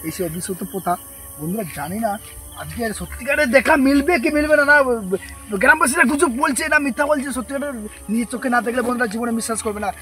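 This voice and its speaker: High-pitched fast-talking jittery man. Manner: jittery, excitable, repeats words. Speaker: vlogger host. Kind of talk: ghost-hunting vlog narration. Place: woods at night, outdoors.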